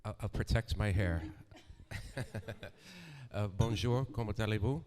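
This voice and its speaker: A man introducing himself on a conference panel in a relaxed, jokey way. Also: lowish voice, a little slow.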